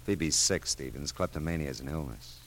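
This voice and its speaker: An American actor playing a wry, world-weary but soft-hearted private detective in a vintage radio drama, clipped and slangy. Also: male voice, a bass voice, normal speed, slight background noise. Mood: frank, sympathetic. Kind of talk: 1940s-50s American radio detective drama, first-person narration.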